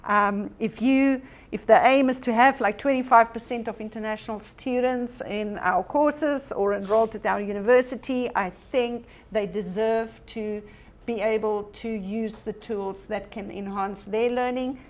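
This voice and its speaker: A woman's voice: fairly high pitch, unhurried. A woman whose first language is Afrikaans, giving an earnest, encouraging workshop presentation about teaching technology, with frequent ums.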